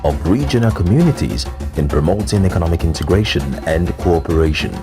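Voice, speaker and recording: Lower-voiced man narrating a news report. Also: animated, over music. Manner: measured, formal. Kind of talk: scripted news voice-over.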